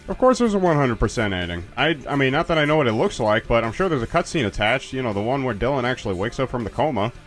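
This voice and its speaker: A man's voice: medium pitch, big pitch swings, fast talker, hardly any pauses, faint music behind. A man casually chatting and joking as a commentator while a game's credits roll. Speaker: let's play commentator. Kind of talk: casual game commentary chat.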